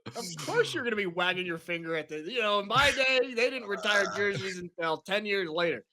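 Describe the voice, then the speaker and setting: A man's voice: high-pitched, lively intonation, brisk, crowd noise. A man in his forties hosting a sports podcast, chatty and joking, rambling along with fillers. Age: 40s. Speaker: podcast host. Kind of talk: casual sports podcast chat.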